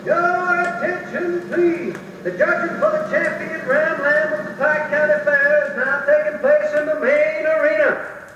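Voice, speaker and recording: An actor playing a formal fairground announcer calling out contests over a public-address system in a 1940s radio drama. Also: male voice, extremely high pitch, fairly even intonation, a little slow, fairly bright tone. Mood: official, urgent. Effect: public-address echo. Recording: very echoey, distant, muffled old record, music.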